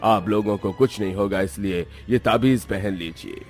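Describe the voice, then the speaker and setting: A man's voice: fairly low pitch, normal speed, soft background music, lightly acted. A man voicing a resolute male tantric exorcist in a cartoon horror story, solemn and commanding. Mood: reassuring. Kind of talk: animated horror story dialogue.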